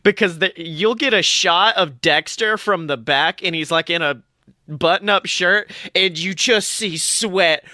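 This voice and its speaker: A man co-hosting a podcast in casual pop-culture chat, animated, slangy and given to swearing. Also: high-pitched, measured pace, dry recording, clean studio sound. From United States. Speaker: podcast co-host.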